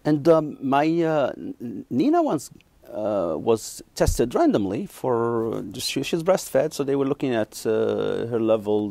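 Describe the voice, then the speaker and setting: A man from Morocco, a neuroscience professor in his thirties, answering interview questions haltingly with many ums and restarts. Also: on the low side, creaky, a little mellow, no room sound.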